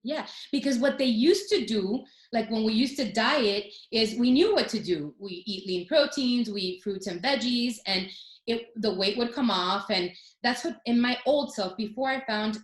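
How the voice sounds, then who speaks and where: A woman's voice: a little high, normal speed. Woman in her 40s rambling through her story, lots of ums, sounds like a roomy space. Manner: chatty, many fillers and restarts. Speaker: guest. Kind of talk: casual interview talk.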